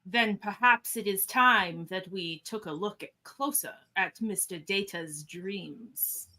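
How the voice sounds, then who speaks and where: A woman playing a composed, commanding starship captain in a live radio-theatre script reading, deliberate and authoritative. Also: mid-range voice, pushed and bright. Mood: measured, commanding.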